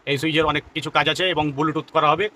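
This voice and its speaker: A man's voice: fairly high pitch, completely flat delivery, fast, noisy background. A man gives an informal, explanatory product demonstration as a shopkeeper, often repeating himself. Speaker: shopkeeper presenting products on own channel.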